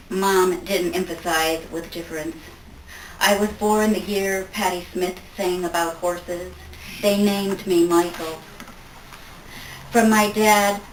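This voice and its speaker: Woman, live poetry reading, unhurried short phrases, echoey, a little far from the mic. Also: on the low side. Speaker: poetry reader at a tribute event. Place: live event room, recorded from a distance. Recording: echoey room, distant mic, faint noise.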